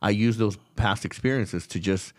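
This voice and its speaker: A man's voice: lowish voice, moderate pace, no echo, studio quality. An American man from Los Angeles, talking earnestly and conversationally as an interview host, with emphatic repetitions. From Los Angeles, United States.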